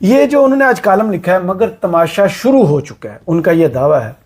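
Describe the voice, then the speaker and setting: A man hosts a political talk show, and his voice sits high for a man. He talks in a conversational way, with a sarcastic edge as he puts his questions. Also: lively intonation, normal speed, a little mellow, a little hiss or hum. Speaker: host.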